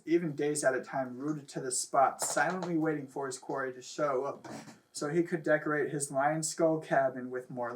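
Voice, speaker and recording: A man reading a comic story aloud as a host, stumbling now and then, recorded on a camera microphone. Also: fairly high pitch. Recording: noisy distant camera microphone.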